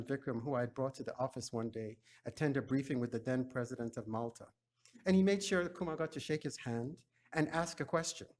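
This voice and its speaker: A man's voice: medium pitch, darkish tone. Solemn man giving a eulogy. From Ghana. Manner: measured, solemn delivery. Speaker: eulogy speaker. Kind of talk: memorial eulogy.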